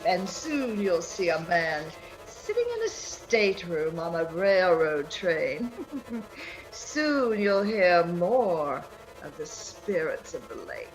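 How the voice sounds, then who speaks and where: A woman's voice: mid-range voice, poor audio. A woman playing a gleeful old witch storyteller who cackles and relishes frightful tales in a 1930s radio horror style.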